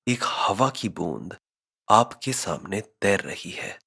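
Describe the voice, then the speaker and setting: Male meditation guide: low register, very slow measured delivery, husky edge to the tone. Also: sing-song, sharp tone. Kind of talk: scripted guided meditation narration.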